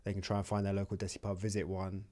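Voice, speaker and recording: A man runs a casual conversation, his voice sitting on the low side. He talks in an easy, rambling way and often restarts his questions. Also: very fast. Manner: relaxed, rambling, with many restarts. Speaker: podcast host. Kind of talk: casual chat.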